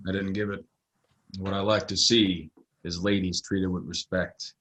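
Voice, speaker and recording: A man plays a steely, unflappable stranger in a western radio play. His voice is on the low side, terse and dry, with a mocking edge. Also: little pitch movement, a little slow, a bit bright. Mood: cool, challenging. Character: calm, steely, mysterious stranger in an Old West saloon. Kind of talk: audio play acting, western.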